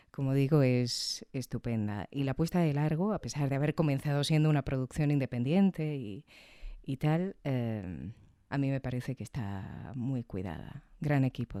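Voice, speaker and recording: A woman's voice: deep voice, warm tone, clean tone, dry recording, clean studio sound. A woman hosting a podcast, reviewing in a conversational, reflective way and often checking in with tag questions. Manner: reflective, frequently seeking agreement with tag questions. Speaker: podcast host.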